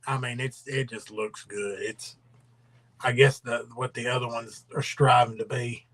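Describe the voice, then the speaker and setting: A man's voice: mid-range voice, little pitch movement, measured pace, fairly bright tone. A man hosting a casual livestream, rambling and drawling, his voice trailing off into mumbles. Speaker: livestream host.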